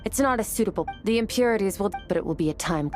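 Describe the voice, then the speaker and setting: A woman's voice: mid-range voice, lively intonation, fast, natural voice. A woman playing a cool, determined young swordswoman in a video game, composed and matter-of-fact. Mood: cool, resolute. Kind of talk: dramatic video game acting. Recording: game stream with clear background music.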